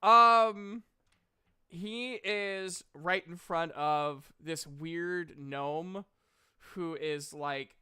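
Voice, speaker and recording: Male game master, slightly high voice, slow pace, casual improvised narration, jokey, sweary. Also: bright, forceful tone, clear, resonant tone. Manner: jokey, profane.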